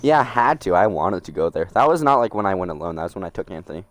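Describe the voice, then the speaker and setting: A man's voice: low-pitched, very animated, fast. A teenage boy chatting casually while playing a game, rambling, laughing often and swearing freely. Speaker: gaming channel host.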